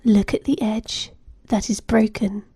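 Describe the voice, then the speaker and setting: A woman narrating a guided meditation in a soothing, gentle manner, giving measured instructions with soft phrase endings. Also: mid-range voice, steady tone, slightly rough, dry recording, studio quality.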